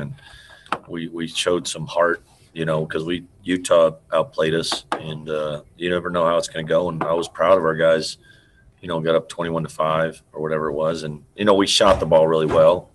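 A middle-aged man, a basketball coach, answering press questions over a video call, halting and trailing off quietly as he restarts sentences. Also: deep voice, a little hiss or hum. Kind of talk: postgame press conference answers. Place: indoor room in front of a team backdrop. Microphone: video call computer mic.

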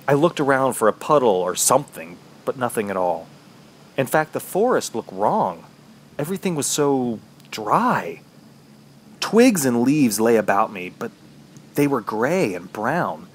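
An actor playing an injured, thirsty trapped man, narrating his ordeal in a brooding, halting, dramatic way for a horror radio play. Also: mid-range voice, a little hiss or hum. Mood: weary, bitter.

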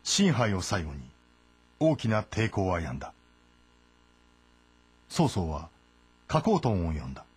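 A man giving measured, solemn scripted narration of a historical tale. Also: fairly low pitch, big pitch swings, a little mellow, husky. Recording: narration over background music.

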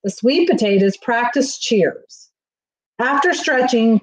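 A middle-aged woman reading a children's picture book aloud in an expressive storytelling manner. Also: a little high, rough recording. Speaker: school staff reading aloud. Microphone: webcam on a video call, near.

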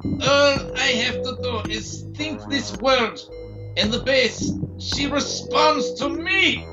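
A sinister doctor villain played by a man in an audio drama. He speaks slowly and theatrically, with mocking grandeur and cunning. Music plays clearly beneath his voice. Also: extremely high pitch, muffled recording. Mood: smugly explaining. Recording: loud music under the voice.

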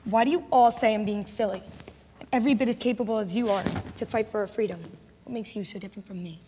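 A woman's voice: mid-range voice, fast talker, mellow, a little hiss or hum, natural voice. A woman playing a determined young woman who wants to fight, speaking in an insistent, defiant way in an amateur drama. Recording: echoey hall.

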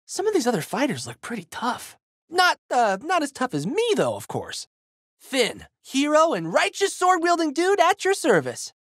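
A goofy teen boy sword hero for a video game, super animated, dude slang, dry close recording. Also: very high voice, normal speed, no echo, heavily acted. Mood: upbeat, chatty. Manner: exuberant, slangy surfer-dude boyishness. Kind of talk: video game character voice lines.

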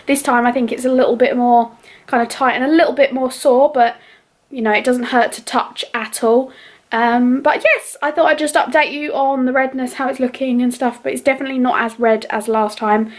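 A woman's voice: high voice, steady tone, brisk. Chatty young British woman vlogging. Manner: chatty, lots of ums and restarts. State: losing her voice, under the weather. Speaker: beauty vlogger. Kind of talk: casual chatty vlog update. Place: bedroom at home, indoors. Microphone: handheld camera or phone at arm's length.

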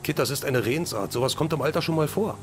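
A man's voice: on the high side, fast talker, noisy background, close to an everyday voice. A man playing an easygoing, loyal crime-fighting agent in an amateur radio play, casual and reassuring. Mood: easy, reassuring.

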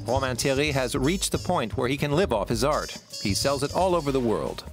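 A male narrator reads a lifestyle documentary script at a measured, even pace, at a mid pitch. His studio voice-over sits over clearly audible music.

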